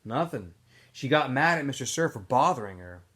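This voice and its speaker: A man's voice: medium pitch, sing-song, normal speed, fairly bright tone. A man voicing a tired teenage boy who speaks in a subdued, earnest way. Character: quiet, weary teenage boy.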